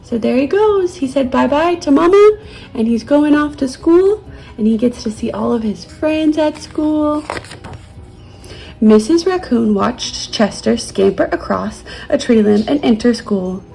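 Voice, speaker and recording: A woman reads a children's story gently, as a teacher would to her young pupils. Her voice is fairly high and mellow, with husky creak, over soft music. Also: expressive, measured pace, low-quality recording. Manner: gentle, child-directed, soothing. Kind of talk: children's storybook read-aloud.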